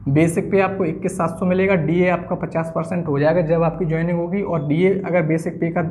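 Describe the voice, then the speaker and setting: A young man gives a whiteboard-style lesson in a soft-edged, mellow voice. His pitch sits a little high for a man. He explains things step by step and often repeats his points. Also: level tone, brisk, a little hiss or hum. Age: 20s. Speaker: exam-coaching teacher. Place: small indoor room at a whiteboard.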